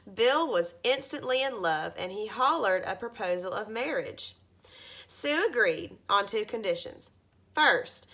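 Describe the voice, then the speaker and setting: A woman in her thirties reading a children's storybook aloud like a teacher, with expressive emphasis at the starts of phrases. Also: medium pitch. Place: carpeted living room at home, indoors.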